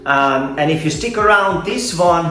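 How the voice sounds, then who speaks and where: A man's voice: on the high side, animated, unhurried, bright, forceful tone. A middle-aged man from Serbia with a Serbian accent, talking earnestly and hesitantly to viewers as an online coach hosting a personal vlog. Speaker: online fitness coach and video host. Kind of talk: personal vlog talk to camera. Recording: roomy echo, faint music behind.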